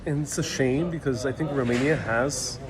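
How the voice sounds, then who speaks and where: A relaxed man hosts a live travel Q&A from a city street, answering viewers' questions with a joking, unhurried manner. His voice sits at a middle pitch and is picked up by a phone. A steady crowd murmur surrounds him. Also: low-quality recording. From New York City. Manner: easygoing, joking, thinks aloud with fillers. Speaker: travel livestream host. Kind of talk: casual live Q&A, reading viewer comments aloud. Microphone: phone livestream mic. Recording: crowd noise around a phone stream. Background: crowd chatter.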